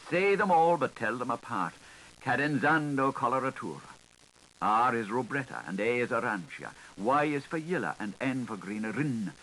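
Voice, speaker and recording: Male literary narrator, theatrical and highly varied intonation, singsong phrasing, faint hiss of a 1980s recording. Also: expressive, moderate pace, pushed and bright, rough recording. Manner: theatrical, lilting, singsong delivery. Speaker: audiobook narrator. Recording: hissy 1980s audiobook recording.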